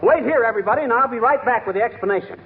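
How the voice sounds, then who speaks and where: An actor playing a blustering, self-important small-town American husband, bossy and folksy, in 1940s radio comedy. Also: male voice, very high-pitched, very fast, over a noisy crowd. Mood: bossy, excited. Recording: very noisy narrow-band 1940s radio broadcast.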